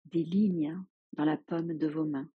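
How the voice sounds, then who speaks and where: A woman leading a guided yoga nidra relaxation as a teacher, giving soothing, unhurried instructions with long pauses. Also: sits low, fairly even intonation, breathy edge, dry recording, narrow, tinny audio.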